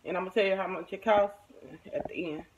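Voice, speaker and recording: Casting: American woman, everyday vlogger, folksy informal chat, medium pitch, clearly noisy handheld recording. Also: fairly even intonation, fast talker, dull audio. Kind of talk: casual chat to camera, showing purchases. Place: room at home, indoors. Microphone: phone or camera at arm's length.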